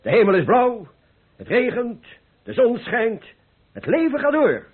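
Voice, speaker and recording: An older painter, blithe and full of persuasive rhetoric. His high voice is husky and leaps about with great animation, as radio play dialogue. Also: sing-song, a little slow, heavily acted. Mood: lecturing, spirited. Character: cheerful, carefree, philosophizing older male painter. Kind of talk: Dutch radio play acting. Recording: muffled old radio play recording.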